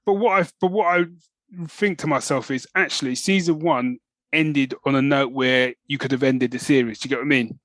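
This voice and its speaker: A man's voice: mid-range voice, brisk, a bit bright, no room sound. A man co-hosting a podcast in casual conversation, thinking aloud as he goes in a slightly hesitant way. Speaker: podcast co-host. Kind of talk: casual chat.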